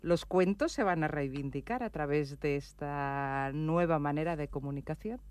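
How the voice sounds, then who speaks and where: A woman's voice: deep voice, a little mellow, no room sound. Composed female interviewer. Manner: measured, composed presenter delivery. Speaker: radio interviewer. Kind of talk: scripted presenter questions.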